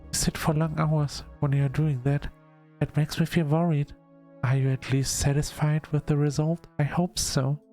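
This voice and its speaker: Man voicing a higher-pitched, gentle shadow demon. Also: little pitch movement, normal speed, faint music behind, close to an everyday voice. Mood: worried, caring. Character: shadowy sleep paralysis demon, curious, gentle, shy newcomer.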